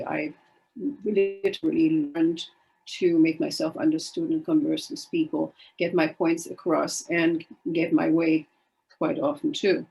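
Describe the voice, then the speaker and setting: Older lady, polish first language, chatty and wry, bit of fry in her voice, video call. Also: low-pitched, darkish tone, low-quality recording. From Germany. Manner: wry, self-deprecating, chatty. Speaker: guest speaker. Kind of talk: casual storytelling talk.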